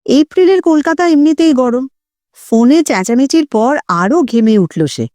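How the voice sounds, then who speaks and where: A woman narrating an audio story in an expressive, suspenseful, dramatic scripted style. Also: sits high, normal speed, dry recording.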